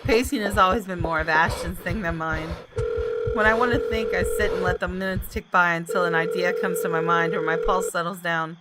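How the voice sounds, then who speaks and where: A woman's voice: lowish voice, fairly quick, heavy background noise. A woman doing a video game let's play, reading narration aloud dramatically and reacting with jumpy exclamations. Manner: dramatic, expressive, jumpy exclamations between read lines. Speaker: gaming YouTuber. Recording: background music under voice. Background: game music.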